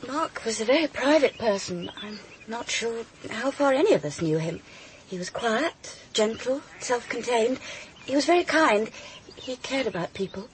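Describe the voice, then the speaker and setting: A woman's voice: on the high side, expressive, moderate pace, a little hiss or hum, lightly acted, poor audio. A woman playing a cool, wry young student in a radio drama. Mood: reflective.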